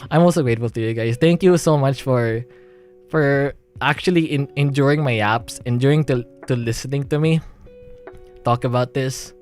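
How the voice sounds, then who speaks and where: A young Filipino-accented man talks into his stream in a rambling way, restarting his sentences and laughing nervously. His voice sits at an ordinary middle pitch for a man. Faint music plays under him. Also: moderate pace, darkish tone. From Philippines. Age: young adult. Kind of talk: emotional livestream monologue.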